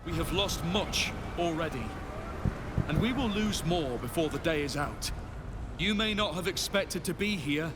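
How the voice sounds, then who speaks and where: An actor playing an earnest military leader in a fantasy game, oratorical and stirring, rallying troops. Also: male voice, fairly high pitch, animated, a little slow, heavy background noise. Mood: rousing resolve. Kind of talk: scripted rallying speech.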